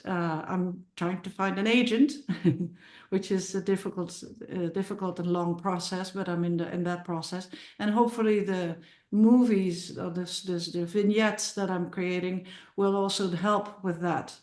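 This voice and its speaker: A woman's voice: lowish voice, steady tone, measured pace, darkish tone. A middle-aged woman from the Netherlands with a Dutch accent, talking about her film on a podcast, full of restarts and repeated words. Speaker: filmmaker and musician guest. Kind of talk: casual podcast interview. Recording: roomy sounding.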